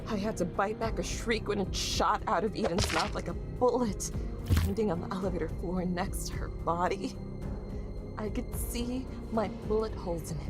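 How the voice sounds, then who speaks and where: An actress playing a frightened young woman who narrates a horror audio drama in a confessional, halting way, with music behind her. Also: mid-range voice, lightly acted. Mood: tense, haunted. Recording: music bed under the voice.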